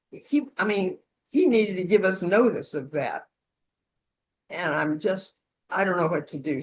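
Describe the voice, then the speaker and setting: A woman leading an instructional session in an informal, conversational way, with role-played support calls. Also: on the low side. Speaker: session leader demonstrating peer-support calls.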